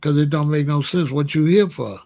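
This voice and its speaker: A man with a somewhat high voice runs his own opinion show. He speaks bluntly in loose street slang and keeps firing rhetorical questions. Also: fairly quick, dark tone. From Chicago. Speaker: show host. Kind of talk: casual opinion monologue.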